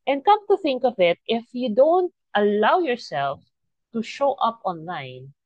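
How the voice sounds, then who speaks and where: A middle-aged woman hosting a faith podcast, talking earnestly and encouragingly, often restarting and pausing mid-sentence. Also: fairly high pitch, big pitch swings, darkish tone, no room sound, highs cut off. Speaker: podcast host. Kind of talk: reflective faith podcast talk.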